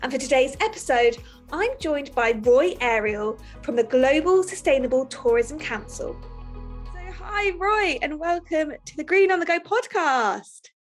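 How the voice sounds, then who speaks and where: A woman's voice: high-pitched, animated, unhurried, soft background music. A woman hosting a podcast, introducing and questioning a guest in a conversational style. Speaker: podcast host.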